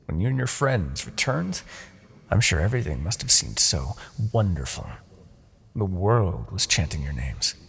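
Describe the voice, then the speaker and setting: A man playing a smug, seductive, possessive Demon King in a fantasy ASMR roleplay, with a gravelly, breathy voice and theatrical, taunting delivery. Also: low voice, full character voice. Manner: theatrical, taunting, drawn-out phrasing with soft asides.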